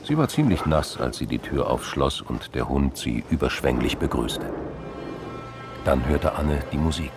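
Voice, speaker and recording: A man narrating a radio crime drama in a measured, literary storytelling manner. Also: very deep voice, sing-song, music in the background.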